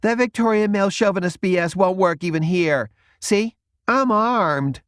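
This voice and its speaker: A man's voice: high voice, normal speed, fairly bright tone, smooth, no echo, studio quality, pitched above the performer's own voice. A man voicing a bold, sassy young woman with a valley girl voice. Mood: defiant.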